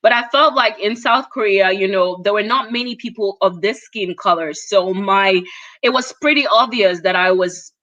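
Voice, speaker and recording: Female live-show host, bright mid-pitched voice, informal and talkative, speaking straight to guest and audience. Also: moderate pace, highs cut off. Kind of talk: casual live interview hosting.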